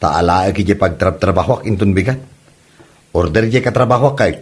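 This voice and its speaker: A man's voice: low voice, a little fast, natural voice. A man playing an easygoing, helpful working man in a comedy audio drama, casual and agreeable, in Ilocano.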